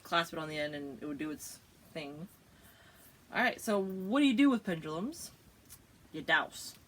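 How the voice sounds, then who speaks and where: Low-voiced woman chatting casually. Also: animated, normal speed. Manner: chatty, giggly, explaining with many fillers. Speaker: podcast host. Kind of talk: casual chat.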